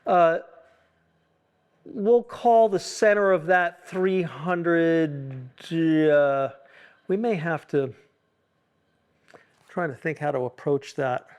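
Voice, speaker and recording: A man leading an informal technical workshop, thinking out loud in a halting, self-questioning way with explanatory asides. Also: on the high side, clear, resonant tone. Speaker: acoustics consultant leading a tuning workshop.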